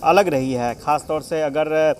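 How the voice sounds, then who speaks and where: A man's voice: on the high side, unhurried, crowd noise. A man giving an unscripted, explanatory live political report as a news correspondent.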